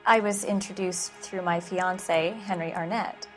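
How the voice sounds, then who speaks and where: An actress playing a refined, guarded young fiancée in a 1940s detective video game. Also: female voice, on the low side, fairly even intonation, a little slow, fairly bright tone, soft background music.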